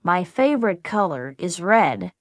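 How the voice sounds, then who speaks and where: A woman reading a friendly everyday speaker in a slow, carefully spaced practice dialogue for English learners. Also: on the low side, sing-song, no echo, clean studio sound. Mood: plain.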